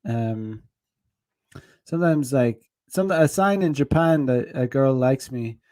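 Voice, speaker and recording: A man's voice: mid-range voice, slow, darkish tone. A man talks loosely on a casual stream, circling back on himself with constant fillers and frequent laughs. His voice sits in an ordinary middle range. The sound is dry and close, with no room echo. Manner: rambling, heavy on fillers, laughs often. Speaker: dating advice streamer. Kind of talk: casual rambling livestream talk.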